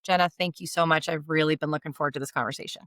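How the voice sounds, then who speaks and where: Enthusiastic woman podcast host, lower voice, dry close mic. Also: fairly even intonation, racing, a bit bright, no echo. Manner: upbeat, enthusiastic interviewer. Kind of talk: podcast interview.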